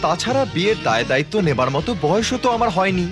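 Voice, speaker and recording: A man's voice: high-pitched, very animated, racing, loud music behind the voice. A man playing a principled, polite young student son in a cartoon, reasoning earnestly. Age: young adult. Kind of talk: cartoon voice acting.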